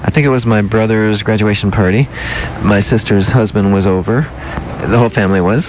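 Soft-spoken man with a low voice. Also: fairly even intonation, brisk. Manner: gentle, hesitant, many ums and restarts. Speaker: dentist and podcast host. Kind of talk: casual interview podcast. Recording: lo-fi with faint noise.

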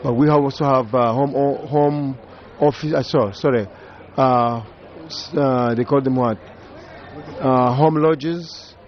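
A man's voice: medium pitch, steady tone, measured pace, muffled recording. A man with a Ugandan accent, a safari tour operator talking in a radio interview, hesitant and searching for words with many fillers.

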